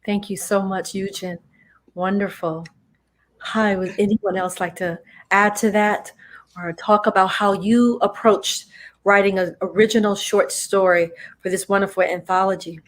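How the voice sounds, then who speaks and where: A woman's voice: mid-range voice, fairly even intonation, a little slow, low-quality recording. Polite woman panel moderator.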